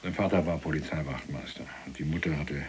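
A man's voice: rumbling low, steady tone, brisk, noisy background. An actor playing a chatty middle-aged German ex-policeman in prison, talking colloquially with reluctant, ironic asides in a radio play. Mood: wry, reluctant.

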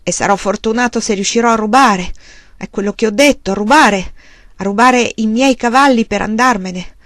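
A woman reading a story aloud and voicing a proud, desperate male frontier farmer who speaks in bitter, forceful outbursts. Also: mid-range voice, a little fast, no echo, clean studio sound, natural voice.

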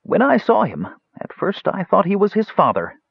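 Man voicing a strained, dying old wizard, pitched high. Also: huge pitch swings, gravelly, husky, no echo, dull audio, full character voice. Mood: pained, insistent. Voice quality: strained, strangled. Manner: slow, labored, urgent. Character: dying old male wizard, desperate and stubborn.

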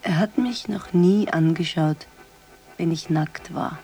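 Radio drama: matter-of-fact call girl, dark hushed breathy tone, laconic, unsentimental, light music underneath. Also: fairly low pitch, slow pace. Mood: detached, confiding. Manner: deadpan, laconic. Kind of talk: German radio drama acting.

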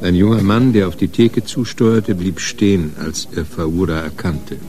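A man's voice: deep voice, fairly even intonation, unhurried, dark tone. A man narrating a radio crime drama in a scripted, literary style.

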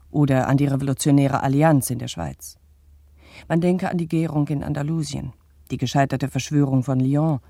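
An actor playing a pompous, indignant bourgeois narrator of the 1870s, addressing the public in formal, old-fashioned, declamatory phrases in a radio play. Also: male voice, medium pitch, warm tone, no echo, clean studio sound, lightly acted.

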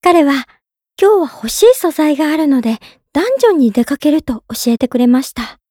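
A woman playing a gentle, polite young woman in love, narrating in character for a drama CD with sweet earnestness. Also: high-pitched, big pitch swings, a little fast, no room sound, studio quality. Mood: fond, serene.

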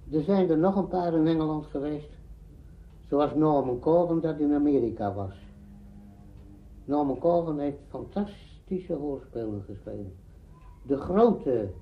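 An older man, a radio drama veteran, reminiscing in an interview in emphatic, halting phrases. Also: fairly high pitch. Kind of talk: spoken reminiscence. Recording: dull archive recording, faint noise.

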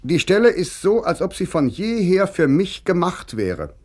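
A man's voice: high voice, very animated, unhurried, a little mellow, exaggerated voice. An actor playing a spirited eighteenth-century man of letters, conversational and articulate, reading his letters in mid-century German radio style. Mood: optimistic.

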